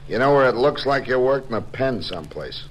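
An actor playing a tough, suspicious drilling boss in a radio drama, gruff and curt. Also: male voice, medium pitch, expressive, fairly quick, natural voice. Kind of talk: 1950s radio drama acting. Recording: noisy old radio broadcast recording.

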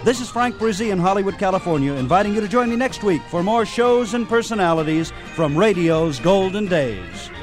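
A man's voice: high-pitched, animated, moderate pace, hardly any pauses, over music. An American man hosting a radio programme with scripted announcer delivery.

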